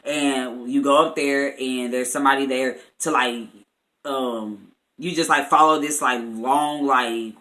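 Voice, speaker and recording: A young man with an American accent and a bright, forward voice tells a personal story to his viewers. He talks casually and chattily, leaning on slangy filler phrases. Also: medium pitch, steady tone, a little slow. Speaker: vlogger.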